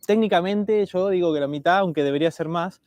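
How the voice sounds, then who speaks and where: A man's voice: sits high, fairly quick, a little mellow, no echo, studio quality. Laid-back man with a mixed Argentine-Spanish accent. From Argentina, raised in Galicia from age 14. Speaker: co-host of travel livestream. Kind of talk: casual livestream chat.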